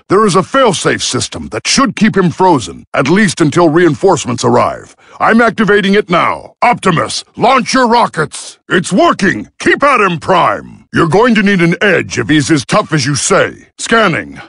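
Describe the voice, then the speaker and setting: An actor playing a gruff robot medic soldier in a video game, with a raspy voice and a dry, urgent delivery. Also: male voice, fairly high pitch, huge pitch swings, normal speed, fairly bright tone, no room sound, full character voice. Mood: focused, commanding. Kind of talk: scripted video game battle dialogue.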